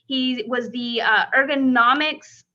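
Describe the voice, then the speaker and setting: A woman's voice: high voice, steady tone, slow pace, bright, forceful tone. A woman hosting a live-streamed interview, upbeat and full of "um"s. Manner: upbeat, filler-heavy. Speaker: host. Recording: lo-fi stream audio.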